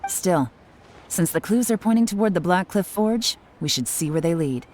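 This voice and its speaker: A woman playing a shrewd, composed investigator in a video game, speaking in a measured, knowing way. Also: fairly low pitch, lively intonation, darkish tone.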